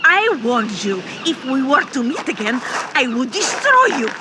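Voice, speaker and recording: A woman's voice: high voice, big pitch swings, unhurried, very noisy. A woman voicing a vengeful ancient female spirit in a radio drama, scornful and threatening. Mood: menacing.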